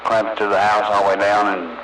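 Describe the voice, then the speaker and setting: A man's voice: on the low side, steady tone, fast talker. A man chatting casually and in a folksy way over CB radio. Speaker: CB radio operator. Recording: noisy CB radio transmission.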